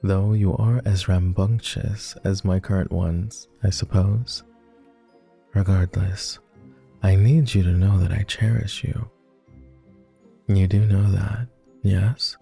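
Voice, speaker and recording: A man's voice: low voice, steady tone, slow, gravelly, long pauses, warm tone, husky, soft background music, heavily acted. A man playing a clingy, lovestruck and melancholy vampire lord in an intimate boyfriend roleplay ASMR, speaking tenderly in formal, old-fashioned, hesitant phrases. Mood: earnest, tender.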